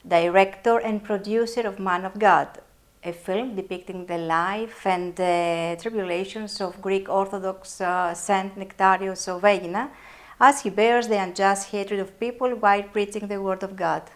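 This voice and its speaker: A woman journalist reading a formal introduction and asking measured interview questions. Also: mid-range voice, steady tone.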